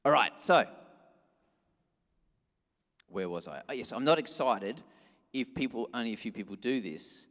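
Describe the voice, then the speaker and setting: A man's voice: mid-range voice, expressive, measured pace, pauses often. Strong-voiced male preacher, echoey hall. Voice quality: loud, strong voice. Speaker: pastor. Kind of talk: sermon, informal and conversational. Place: church hall, amplified by sound system. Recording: echoey hall with long reverb.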